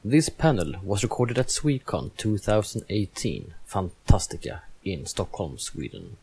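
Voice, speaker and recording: A man reading a podcast introduction in a measured way. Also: fairly low pitch, big pitch swings, vocal fry, darkish tone, slight background noise. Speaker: announcer.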